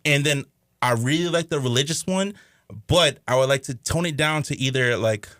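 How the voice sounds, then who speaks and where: A man in a casual podcast chat, talking in loose slang and thinking out loud with many fillers. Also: medium pitch, normal speed, no room sound, studio quality. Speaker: podcast cast member. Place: community media studio.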